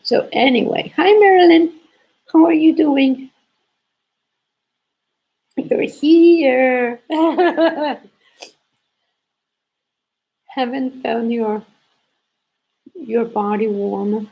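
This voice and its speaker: Knitting teacher, woman, hoarse from a cold; gravelly, husky, unhurried, repeats points. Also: sits high, muffled recording. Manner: slow, repetitive explaining with restarts. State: sore throat, congested, coughing. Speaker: knitting teacher hosting a live stream. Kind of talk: casual live knitting lesson.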